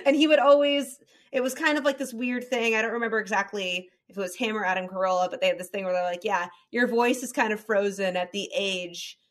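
A woman's voice: mid-range voice, steady tone, fairly quick. A young woman with a light Southern Californian lilt, talking casually as a podcast host. Her voice sits mid-range, and she is candid and chatty, breaking into laughs between thoughts. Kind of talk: casual chat.

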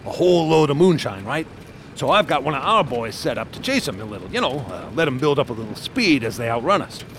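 A man's voice: medium pitch, very animated, fast talker, background noise. An actor playing a chatty, boastful male state trooper detective who laughs at his own stories, in a radio drama.

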